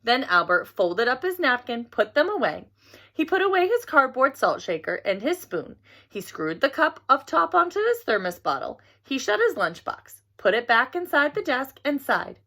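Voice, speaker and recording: Animated, bright-voiced woman reading a children's story. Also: high voice, normal speed. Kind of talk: expressive read-aloud of a picture book.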